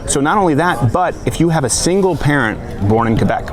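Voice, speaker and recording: Brisk, chatty male host, talks quickly, street crowd noise behind. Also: on the high side, lively intonation. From Canada. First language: French. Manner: talkative, quick, interrupts and jokes. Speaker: host debating a guest. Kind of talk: casual street debate. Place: outdoors on a city street.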